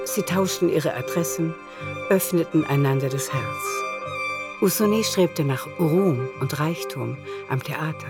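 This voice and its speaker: A woman's voice: low-pitched, slow, loud music behind the voice. A woman reading scripted literary narration as the narrator of a radio drama.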